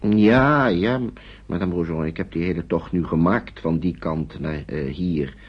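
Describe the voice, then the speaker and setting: A man's voice: low-pitched, measured pace, husky. An actor playing a courteous, weary Englishman fleeing with children in wartime, speaking formally and hesitantly in a naturalistic radio drama. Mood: worried, hesitant. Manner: formal, hesitant, repeating himself. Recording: dull old radio play recording.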